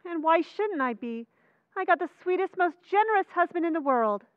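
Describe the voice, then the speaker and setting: Female actor as wry, busy working-class wife, old-time radio comedy. Very high, animated voice, brisk delivery. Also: dry recording, phone-quality sound. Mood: delighted. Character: busy, sharp-tongued but affectionate working-class housewife.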